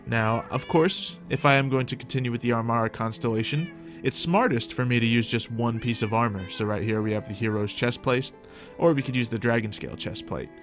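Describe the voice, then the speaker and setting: A man with a middle-pitched voice hosts a gaming video over background music. He talks casually and off the cuff, thinking aloud and chatting to characters in the game as he roleplays. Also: fairly quick. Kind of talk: casual improvised Minecraft roleplay commentary.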